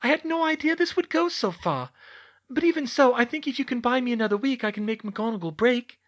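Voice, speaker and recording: A man puts on a prim middle-aged woman official for a storybook reading. The voice is pushed high and bright, swinging up and down with sugary, deferential politeness over a scheming nature. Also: lively intonation, brisk, lo-fi sound. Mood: sweetly pleading. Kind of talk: audiobook character voice.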